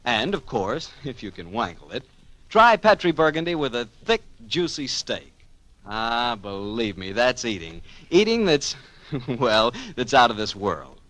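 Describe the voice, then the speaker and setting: A man with an American accent hosting a 1940s radio show, pitching the sponsor in an emphatic, folksy way. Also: sing-song, a little slow, fairly bright tone, dry recording. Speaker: radio announcer and host.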